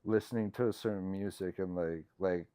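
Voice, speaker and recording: A man with a low voice, a musician guest in a casual interview, speaking haltingly with many fillers and trailing thoughts. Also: fairly even intonation, dark tone, clean tone, no echo.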